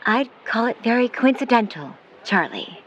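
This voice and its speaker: A woman playing a protective, skeptical middle-aged mother in a 1950s New York audio drama, speaking in formal, measured, wordy sentences. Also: fairly high pitch, expressive, fairly bright tone, muffled recording, a little hiss or hum. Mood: guarded, probing. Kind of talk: vintage-set audio drama acting.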